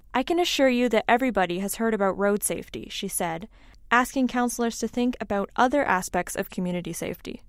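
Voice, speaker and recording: A woman's voice: medium pitch, clean tone, no room sound, clean studio sound. A woman reading scripted local news as a narrator. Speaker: news reader.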